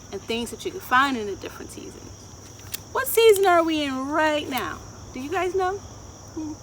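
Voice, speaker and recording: Woman hosting a kids story time outside, highish voice, sing-song questions, bugs and noise behind her. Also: a bit bright. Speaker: children's story time host and garden educator. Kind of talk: presenting to young children, asking questions. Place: outdoors in a wooded garden. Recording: clear outdoor background noise, insects chirring. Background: insects and outdoor ambience.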